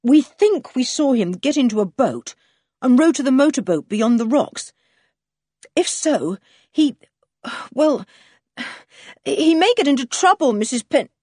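A woman's voice: high voice, expressive, unhurried, long pauses, darkish tone, dry recording, studio quality, pitched above the performer's own voice. A woman voicing a polite, sensible older boy in a storybook, speaking carefully with awkward hesitation and apology.

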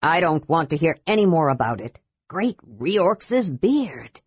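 Woman putting on a gruff hoarse old dwarf, low and grumbly, dry dull sound. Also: animated, a little slow, no echo, heavily acted. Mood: irritable. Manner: growling, irritable. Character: grumpy old male dwarf, gruff and weary. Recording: dull lo-fi recording.

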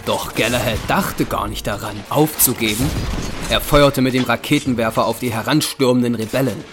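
A man's voice: mid-range voice, moderate pace. Male audio-drama narrator over music. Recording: music bed behind narration.

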